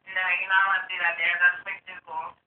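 A woman's voice: lowish voice, level tone, brisk, far from the mic. A woman chatting casually on a phone call in brash, profanity-laced slang. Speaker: prank call recipient. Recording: roomy, noisy tinny phone line.

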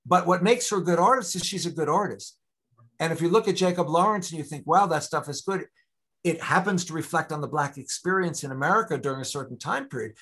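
A man's voice: on the high side, fast talker, husky. A man in his sixties, a guest lecturer, answering questions on a video call from a home study, talking in long discursive stretches with frequent restarts. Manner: discursive, many restarts, animated. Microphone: video call webcam.

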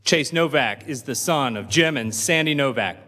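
Middle-aged guy reading a formal intro at a podium, measured and steady, big echoey hall. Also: mid-range voice. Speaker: school official introducing the speaker. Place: large school auditorium stage. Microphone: podium microphone. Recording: reverberant hall.

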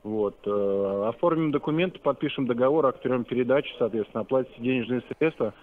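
A man's voice: medium pitch, quick pace. A man working as a car salesman, speaking politely and explaining in a businesslike way over a narrow phone line. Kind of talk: customer phone call. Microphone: telephone.

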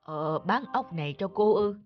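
A woman's voice: medium pitch, animated, moderate pace, lo-fi sound, close to an everyday voice. Woman acting kind, plaintive old village granny. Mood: firm, concerned. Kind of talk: animated folk tale acting. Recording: music under the voice.

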